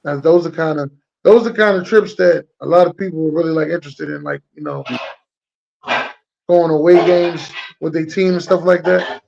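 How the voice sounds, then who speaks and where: A man's voice: on the high side, moderate pace, gravelly, darkish tone, lo-fi sound. An American man hosting a casual podcast interview, speaking in slangy, laid-back phrases and often repeating himself. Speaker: podcast host interviewing a guest.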